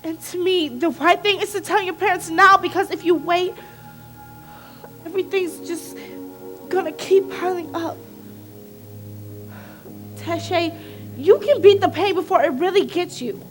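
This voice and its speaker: A woman's voice: very high voice, measured pace, long pauses, fairly bright tone. A woman acting a protective teenage best friend in an emotional stage drama, imploring and emphatic. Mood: earnest, urging. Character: earnest, protective teenage best friend, a girl who survived similar hurt. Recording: faint music behind the voice.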